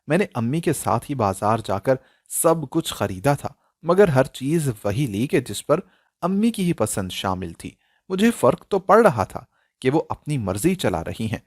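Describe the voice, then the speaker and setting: A man narrating a scripted dramatic story in an emotional, expressive style. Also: medium pitch, no echo, clean studio sound.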